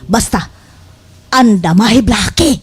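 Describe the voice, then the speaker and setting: A woman's voice: mid-range voice, slow, breathy edge. A woman playing a bossy, scheming villager in a slapstick radio comedy, exclaiming and giving orders, with music underneath. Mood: exasperated. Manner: exclaiming, commanding. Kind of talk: exaggerated slapstick radio drama acting.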